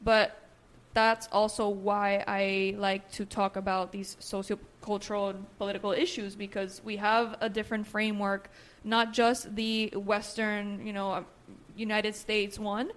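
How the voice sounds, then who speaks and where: A woman's voice: medium pitch, level tone, unhurried. Nicaraguan-born woman therapist chatting casually. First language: Spanish. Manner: conversational, fills with "you know" and "right". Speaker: therapist and podcast co-host. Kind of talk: casual chat.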